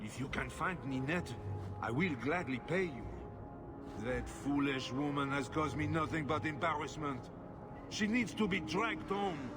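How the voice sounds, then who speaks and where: A man's voice: medium pitch, normal speed, close to an everyday voice. A man voicing a pompous, self-pitying nobleman in a video game, haughty and given to indignant complaint. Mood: exasperated, defensive. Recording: music behind the voice.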